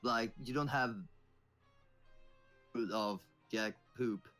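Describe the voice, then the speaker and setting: A young man from Sweden, co-hosting a casual podcast chat and pausing to search for English words. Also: mid-range voice, fairly even intonation, pushed and bright, faint music behind. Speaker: podcast co-host.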